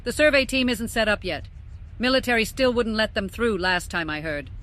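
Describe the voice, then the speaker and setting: A woman's voice: on the high side, normal speed, a bit bright, lightly acted. A woman playing an assertive, unflappable volcanologist, speaking in a matter-of-fact, self-assured way for an audiobook. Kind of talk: audiobook character dialogue.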